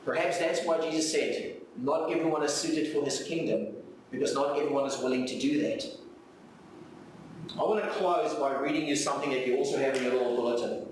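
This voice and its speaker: A man's voice: fairly high pitch, brisk. A man with a South African accent, a native Afrikaans speaker, preaching earnestly with repeated phrases and rhetorical questions. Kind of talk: sermon with reflective readings. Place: church hall, live service. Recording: very echoey room, distant mic, faint noise.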